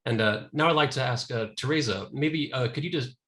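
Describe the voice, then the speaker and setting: A man's voice: mid-range voice, a bit bright. Polite man moderating a webinar. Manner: polite, brisk questions.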